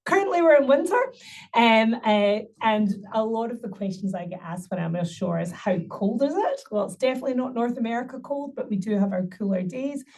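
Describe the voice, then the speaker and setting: A woman's voice: fairly high pitch, animated, fairly quick, a little mellow. Woman, middle-aged, webinar presenter, upbeat and promotional, a few ums, slightly distant mic. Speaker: university recruitment presenter.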